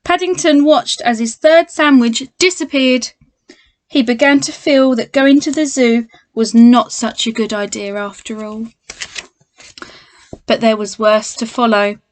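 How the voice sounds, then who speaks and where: A woman's voice: fairly high pitch, fairly even intonation, unhurried, dry recording. A woman reading a children's storybook aloud, speaking expressively and directly to young listeners as a primary school teacher.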